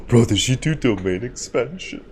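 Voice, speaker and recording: A man's voice: medium pitch, expressive, unhurried, darkish tone, a little hiss or hum. A man giving casual live reaction commentary as a video game streamer, musing in trailing phrases.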